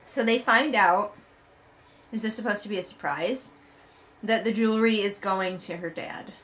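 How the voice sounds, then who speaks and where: A woman's voice: mid-range voice, moderate pace, a bit bright, slight background noise. A woman giving a casual comedic commentary as a read-along host, sarcastic, emphatic and exasperated, with a conversational, sweary way of talking.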